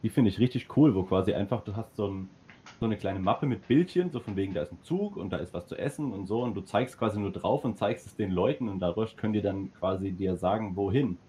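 A man's voice: fairly low pitch, brisk, mellow, a little hiss or hum. A man chatting casually in a group, rambling enthusiastically with lots of fillers. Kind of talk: casual chat.

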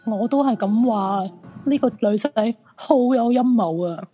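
Woman acting an excitable high-voiced crystal fortune-teller. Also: measured pace, slightly rough, soft background music. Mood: conspiratorial. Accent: Hong Kong Cantonese. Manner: dramatic, insistent. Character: excitable, pleading female crystal fortune-teller, dramatic and nosy. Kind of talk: Cantonese radio drama acting.

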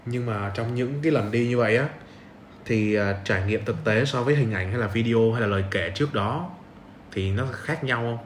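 A man's voice: mid-range voice, brisk. A young man with a southern Vietnamese accent, hosting a podcast as a casual interview chat. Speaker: podcast host. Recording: roomy echo, noticeable background hiss.

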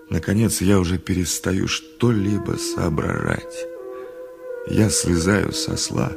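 A man's voice: deep voice, gravelly. An actor playing a haughty, exasperated town dentist who tells his tale dramatically in a radio play. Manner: dramatic, haughty, emphatic storytelling. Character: self-important, exasperated male town dentist, a traveller. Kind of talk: theatrical 1980s radio play narration and dialogue.

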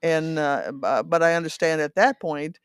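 A woman in her eighties from the Netherlands, reminiscing in a halting, rambling way as an interview guest, often repeating words and checking for agreement. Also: sits low, clear, resonant tone, no echo, studio quality. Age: 80s. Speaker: interview guest telling her life story. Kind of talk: reminiscing, conversational storytelling.

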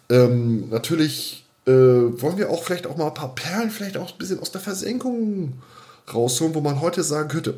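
Dude on a casual podcast, normal mid voice, slangy, repeats himself, just chatting unscripted. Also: male voice, mid-range voice, a little mellow. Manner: colloquial, repeats words, slangy asides. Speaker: podcast co-host.

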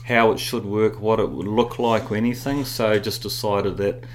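A middle-aged man with a New Zealand accent, telling rambling, animated stories with many fillers in a casual interview. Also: lowish voice, moderate pace, a little hiss or hum. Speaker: guest.

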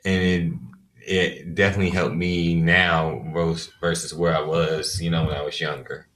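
A man co-hosting a podcast, speaking in a measured, reflective way and offering advice. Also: deep voice, flat delivery, fairly bright tone, a little hiss or hum. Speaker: podcast co-host. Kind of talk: casual reflective chat.

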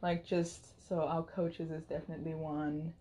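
A young woman co-hosting a casual podcast from her dorm room, with a low, soothing voice and a relaxed, agreeable manner. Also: a little slow. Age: young adult. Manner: relaxed, agreeable, many backchannels. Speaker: podcast co-host. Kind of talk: casual chat.

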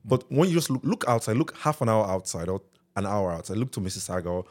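A man's voice: fairly low pitch, animated, quick pace. A man with a Nigerian accent, a podcast co-host chatting casually and often checking in with "right?". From Nigeria (Delta). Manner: tags remarks with "right?", easygoing. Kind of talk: casual chat.

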